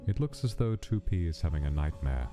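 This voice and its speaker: A man doing a deep, detached skeleton scientist. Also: sing-song, music in the background. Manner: measured, detached, analytical. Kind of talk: fan comic dub.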